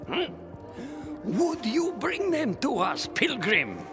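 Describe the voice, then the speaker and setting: An elderly game-world craftsman, very high in pitch and wildly swooping. He is proud and excitable, loses his words mid-thought and takes his time, with music behind him. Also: takes it slowly, heavily acted. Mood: eager, scatterbrained. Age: older. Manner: hesitant searching for words, theatrical outbursts. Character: excitable, absent-minded, proud old inventor and craftsman. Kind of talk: video game character acting.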